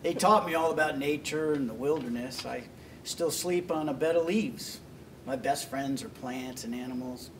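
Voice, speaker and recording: A man playing a spaced-out hippie raised by wolves, dreamy and halting, at a comedy table read. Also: mid-range voice, natural voice. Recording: noisy, distant room microphone.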